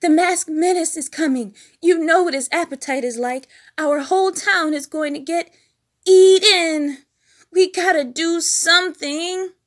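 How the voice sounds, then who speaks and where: A woman voicing an excitable, panicky young male deputy from a cartoon storybook, urgent, alarmed and breathless. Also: extremely high pitch, measured pace, pitched above the performer's own voice. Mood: panicked.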